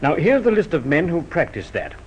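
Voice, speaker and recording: A man's voice: on the high side, huge pitch swings, fairly quick, rough recording. An actor playing a deferential, matter-of-fact police inspector reporting to his superior in an old radio crime drama. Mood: dutiful, matter-of-fact. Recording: old radio recording, light hiss.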